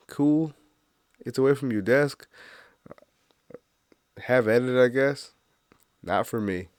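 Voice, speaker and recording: A man's voice: low voice, no echo. Male solo host, congested, loose and rambling, dry close sound. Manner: rambling, laughs at own jokes, swears freely. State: stuffy nose, congested. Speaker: podcast host. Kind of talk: casual solo monologue.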